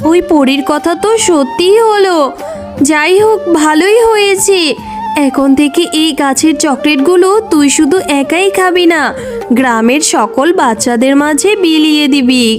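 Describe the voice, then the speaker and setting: A woman's voice: high voice, normal speed, over music, lightly acted. A woman voicing a scolding but caring fox mother in a children's cartoon, with an emphatic, nagging manner. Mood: pleased.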